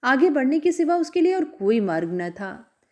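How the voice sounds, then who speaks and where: A woman storyteller narrates a classic tale in a measured, expressive reading. Her voice is mellow and warm, pitched a little high, with lively rises and falls.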